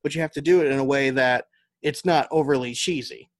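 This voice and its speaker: A man's voice: mid-range voice, brisk, dry recording. American man explaining in an interview, dry close sound. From United States. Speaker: podcast guest, novelist and audio drama writer. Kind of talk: conversational interview, explaining his craft.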